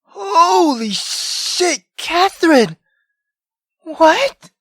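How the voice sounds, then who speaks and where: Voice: very high-pitched, big pitch swings, drawn-out words, fairly bright tone, no echo, clean studio sound. A game player giving casual let's play commentary, hesitant and rambling, with swearing and music behind the voice. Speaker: gaming video host.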